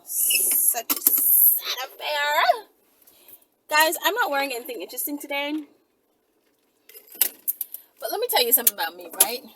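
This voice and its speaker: High pitched chatty lady vlogging a story out and about, slangy, really animated, mic kinda far w road noise. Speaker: vlogger telling stories to followers. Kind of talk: casual storytelling vlog chat. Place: out and about, on the move in town. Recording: distant mic, noisy vehicle ambience.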